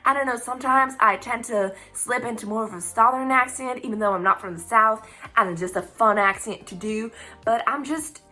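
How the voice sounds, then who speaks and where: A woman's voice: a little high, fairly quick, bright, forceful tone, soft background music. A young woman from Spain, a native Spanish speaker, hosting a casual Q&A vlog in English, rambling and laughing often. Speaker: YouTube channel host.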